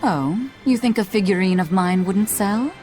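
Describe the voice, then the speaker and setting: A woman's voice: medium pitch, moderate pace, a little mellow, faint music behind, natural voice. An actress playing a sly, wry, mysterious woman in a video game, with a haughty, teasing drawl. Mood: dry, offended. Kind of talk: English game voice acting.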